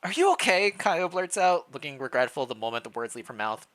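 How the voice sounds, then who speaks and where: A streamer reading fan fiction aloud on a casual livestream, playful and reacting out loud, sometimes stumbling over the text. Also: mid-range voice, big pitch swings, brisk.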